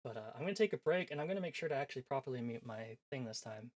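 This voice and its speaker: A man's voice: medium pitch, animated, rapid-fire. A man chatting casually on a game livestream in a rambling, self-deprecating way. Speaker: game streamer. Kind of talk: casual livestream chat, reading visual novel aloud. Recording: music behind the voice. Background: background music.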